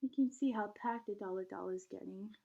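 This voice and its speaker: A woman reading a picture book aloud to young children in an expressive, teacherly way, pausing to put questions to them. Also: mid-range voice, vocal fry, dull audio, background noise.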